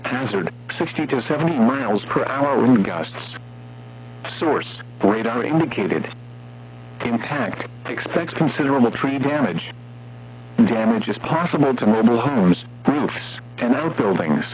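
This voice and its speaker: Robotic guy reading weather alerts, medium pitch, dull radio sound w some hum. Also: unhurried. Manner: formal, flat synthetic text-to-speech delivery. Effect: synthetic weather radio voice. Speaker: automated weather radio announcer. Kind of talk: scripted weather warning bulletin.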